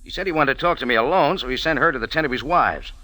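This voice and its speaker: A man's voice: mid-range voice, rapid-fire, sharp tone, no room sound, close to an everyday voice. An American man playing a wisecracking, world-weary hero in a hardboiled old radio drama, sardonic and clipped. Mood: weary explaining. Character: wisecracking, tough, world-weary cafe-owner adventurer hero. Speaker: lead actor and narrator. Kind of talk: 1940s-50s hardboiled radio drama narration and acting.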